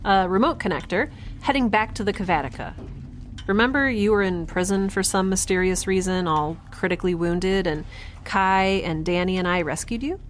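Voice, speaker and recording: A woman playing a chatty, nerdy, stubborn young scientist in an audio drama, rambling and halting over clear background noise. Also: medium pitch, close to an everyday voice. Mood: flustered, upbeat. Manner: rambling, halting, talking to herself. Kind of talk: audio drama monologue and dialogue.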